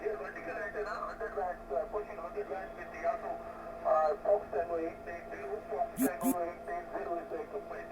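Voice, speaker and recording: A man's voice: sits high, steady tone, a little fast, recorded from a distance. An Indian-accented man heard over shortwave radio, an amateur operator repeating call signs and details in a procedural exchange. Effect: received over shortwave radio. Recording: very noisy, muffled shortwave radio reception. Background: loud radio static.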